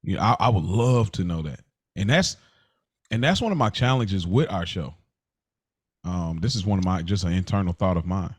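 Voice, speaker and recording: Male co-host, very low voice, earnest conversational delivery, dry close recording. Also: animated, moderate pace, breathy edge, no room sound. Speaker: podcast co-host. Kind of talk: casual podcast conversation.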